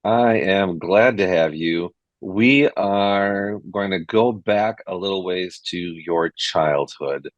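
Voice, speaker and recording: A man's voice: sits low, slow, hardly any pauses, dry recording, highs cut off. A man hosting a podcast interview, asking friendly, encouraging questions. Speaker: podcast host.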